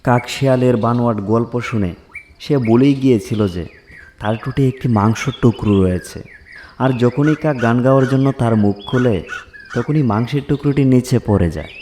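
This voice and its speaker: A man's voice: mid-range voice, moderate pace, mellow, slight background noise. A man narrating a scripted animal fairy tale as a storyteller.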